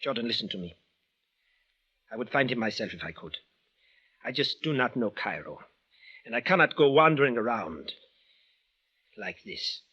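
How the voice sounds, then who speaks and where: Raspy, menacing villain. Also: male voice, medium pitch, big pitch swings, normal speed, long pauses, sharp tone, exaggerated voice. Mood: urgent, embittered. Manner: formal, stilted foreigner's phrasing without contractions. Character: tall, big, menacing, vengeful bandaged foreign man from Damascus. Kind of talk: 1940s-50s radio drama acting. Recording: dull old radio recording.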